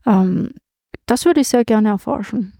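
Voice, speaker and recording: A woman's voice: mid-range voice, unhurried, mellow, no room sound. A woman guest in a casual interview at a comic convention, hesitant with many fillers and restarts. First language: German.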